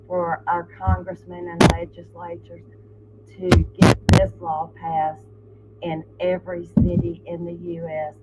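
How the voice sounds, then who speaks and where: A host with a hoarse voice, giving earnest commentary with many fillers. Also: medium pitch, heavy background noise. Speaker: channel host. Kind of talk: casual commentary to camera. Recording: phone-like lo-fi with loud music behind.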